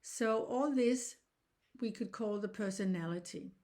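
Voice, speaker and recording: A Danish woman in her sixties, a reflexology teacher speaking English as a second language, explaining in an earnest, halting way with frequent restarts. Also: mid-range voice, a little mellow. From Denmark. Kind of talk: informal online lesson.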